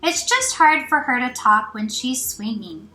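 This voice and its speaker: Woman playing a friendly little monster, high and simple. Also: expressive, a little slow, sharp tone, full character voice. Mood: earnest. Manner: simple, explaining, speaks of self in third person. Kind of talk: children's storybook read-aloud. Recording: roomy echo, faint music.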